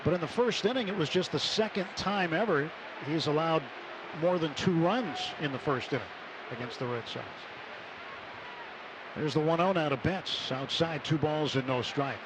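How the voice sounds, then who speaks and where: Guy doing radio baseball play by play, voice a bit high, noisy stadium behind him. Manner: measured between plays, excited on big plays. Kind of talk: live radio sports commentary. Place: stadium broadcast booth. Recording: noisy live ballpark radio broadcast.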